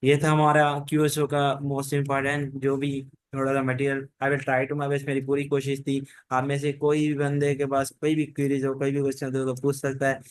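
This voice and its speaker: A man's voice: medium pitch, monotone, moderate pace, hardly any pauses, dry recording, rough recording. A man giving an informal revision lecture, mixing English technical terms into his speech, with repetitive, loosely strung explanations. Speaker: law lecturer.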